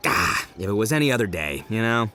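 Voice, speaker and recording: A man's voice: lowish voice, big pitch swings, brisk, sharp tone, slight background noise. A man voicing a brash, boastful young beetle-fighting champion in a video game, slangy and boisterous, laughing often. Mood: animated.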